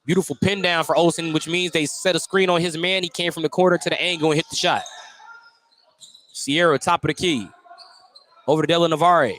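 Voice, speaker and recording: Higher-voiced man calling basketball, noisy background. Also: fairly even intonation, a little fast, fairly bright tone. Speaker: play-by-play sports commentator. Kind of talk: live basketball radio commentary. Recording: clear background noise, live gym broadcast.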